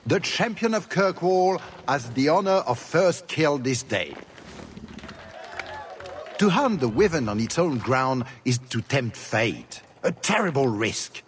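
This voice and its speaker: An actor playing a suave, theatrical, sardonic duke in a video game, speaking with flowery, mocking courtesy. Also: male voice, medium pitch, big pitch swings, slow pace, a little hiss or hum. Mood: grandly gracious. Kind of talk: video game cutscene acting.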